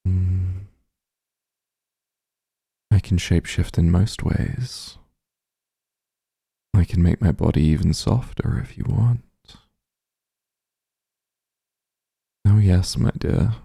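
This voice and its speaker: A man playing a doting demon lord in an ASMR roleplay, speaking soothingly and tenderly in a low, husky, gravelly voice. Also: slow, pauses often, no room sound, clean studio sound, heavily acted. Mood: doting, devoted. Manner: soothing, tender, gently coaxing. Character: doting demon lord, shapeshifted into soft strong form. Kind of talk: ASMR roleplay, intimate comforting monologue.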